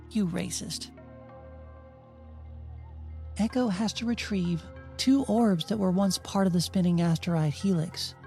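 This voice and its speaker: Mellow-voiced woman narrating with dry wit. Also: mid-range voice. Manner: wry, dryly sarcastic asides. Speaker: host and narrator of a video game retrospective. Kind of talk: audiobook-style scripted narration. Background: music under the voice.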